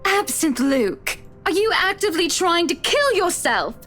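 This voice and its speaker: Exasperated, high-voiced scolding wife. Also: female voice, very animated, unhurried, a bit bright, faint music behind, full character voice. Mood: alarmed, scolding. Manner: scolding, pointed. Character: exasperated, indignant wife standing up to her husband. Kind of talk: visual novel game voice acting.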